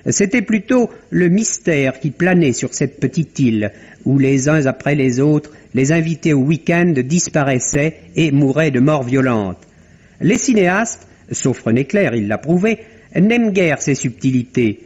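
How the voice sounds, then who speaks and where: Male radio columnist, slightly high voice, formal and opinionated delivery, muffled old broadcast sound. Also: animated, a little slow, darkish tone, husky. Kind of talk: scripted 1950s French radio commentary. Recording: dull lo-fi vintage radio recording.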